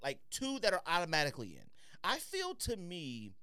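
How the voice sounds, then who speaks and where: A man's voice: high-pitched, normal speed, smooth, no room sound, studio quality. A man co-hosting a podcast, telling stories and bantering about sneakers in casual, slangy talk. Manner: slangy, animated storytelling. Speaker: podcast co-host.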